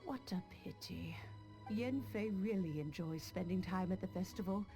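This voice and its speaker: A kindly old granny for a game cutscene, speaking on the low side with plenty of melodic swing. She is genteel and gently chiding, with music playing softly behind her. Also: lively intonation, moderate pace. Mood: fond, wistful. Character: kindly, teasing elderly granny, gracious and unhurried. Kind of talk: video game cutscene acting. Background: background music.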